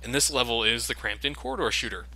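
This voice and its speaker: Bright, forceful man commentating on a game. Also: medium pitch, quick pace, low-quality recording. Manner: sardonic, sweary. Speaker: video game let's player and host. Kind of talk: casual gameplay commentary.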